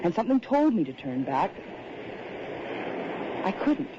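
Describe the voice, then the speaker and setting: A woman's voice: on the low side, sing-song. An uneasy woman writer, muffled radio. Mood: brooding, uneasy. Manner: measured, literate, dramatic phrasing. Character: polite, uneasy woman writer on a seaside holiday. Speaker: narrator and lead character. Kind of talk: old-time radio drama acting, reflective narration. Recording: muffled, noisy old radio recording.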